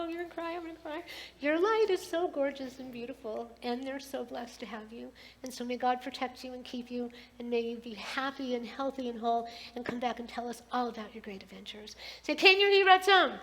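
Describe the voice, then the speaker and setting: High, bright-voiced woman giving a blessing. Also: fairly quick, low-quality recording. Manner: heartfelt and affectionate. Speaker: clergy leading a service. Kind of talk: blessing and sermon at a service. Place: synagogue sanctuary.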